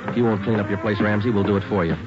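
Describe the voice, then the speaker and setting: Deadpan, fast-talking low-voiced police sergeant, man acting. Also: lightly acted. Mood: stern. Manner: clipped, deadpan. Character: hard-boiled, businesslike male police sergeant narrating cases. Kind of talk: 1940s radio police drama. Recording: dull vintage radio recording with music.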